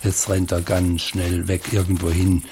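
A man retelling a story colloquially, halting and musing, repeating his phrases. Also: sits low, completely flat delivery, noisy background. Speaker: commentator retelling the Passion story.

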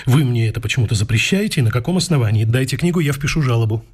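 An actor playing a grey-haired, awkward, stubborn and lovesick barbershop customer in a radio play. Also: male voice, mid-range voice, animated, very fast, slightly rough. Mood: indignant, insistent. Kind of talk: radio play acting with narration. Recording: music under the voice. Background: loud music.

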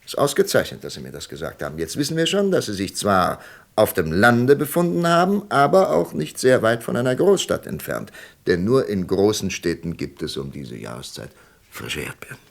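An actor playing a patient, shrewd investigator in a German radio crime drama, questioning deliberately with thoughtful pauses. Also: male voice, fairly low pitch, sing-song, vocal fry. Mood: probing, intrigued. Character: patient, methodical male FBI investigator, probing and shrewd.

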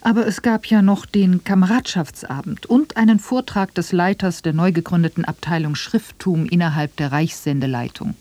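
High-pitched male co-narrator, dry sound. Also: moderate pace, few pauses, darkish tone, no room sound. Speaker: second narrator. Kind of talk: scripted dialogue narration.

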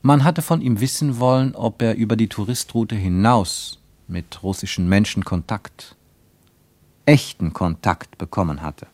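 A man acting as the grave, reflective narrator of a literary radio play, with deliberate dramatic phrasing. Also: lowish voice, a little mellow, no room sound. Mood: grave, suspenseful.

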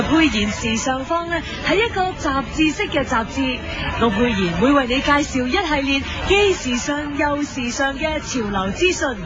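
A woman playing an earnest, self-important fashion TV presenter in a radio comedy, with gushing, repetitive patter. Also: high-pitched. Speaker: host of a fashion segment. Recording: dull lo-fi audio with music underneath.

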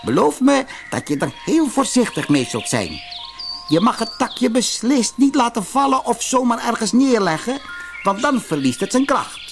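Tiny storybook gardener, played by a man, markedly high voice, solemn and careful, music underneath. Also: sing-song, normal speed, vocal fry, full character voice. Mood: solemn warning. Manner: solemn, cautioning.

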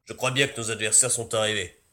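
A man's voice: fairly low pitch, fairly even intonation, fast talker, sharp tone, reverberant. A man playing a businesslike mercenary leader in a science-fiction audio drama, terse and matter-of-fact. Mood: alert, businesslike.

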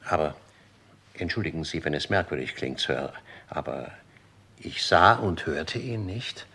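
An actor playing an older, formal manservant in a German radio crime drama, deferential and deliberate, with a husky, gravelly voice. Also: male voice, a bass voice, animated, sharp tone, exaggerated voice. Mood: earnest, uneasy. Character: dutiful, formal old-school manservant of a murdered gentleman.

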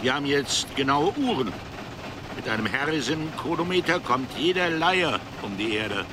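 An actor in a German radio drama playing a matter-of-fact ship's navigator, explanatory and declarative. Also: male voice, fairly high pitch, lively intonation, moderate pace, close to an everyday voice. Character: male ship's navigator, matter-of-fact, proud of modern methods. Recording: clear outdoor ambience noise.

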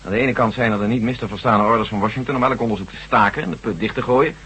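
An actor playing a loyal, hearty military general in a radio drama, reasoning like a cautious friend. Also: male voice, on the low side, racing, a bit bright, natural voice, rough recording. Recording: faintly hissy old radio drama recording.

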